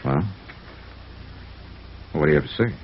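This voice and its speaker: An American actor playing a brisk, clipped insurance investigator in an old-time radio noir drama. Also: male voice, extremely low pitch, animated. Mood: firm, pressing. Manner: clipped, businesslike. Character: brisk, hard-boiled male insurance investigator. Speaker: radio drama lead and narrator. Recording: muffled, noisy old radio recording.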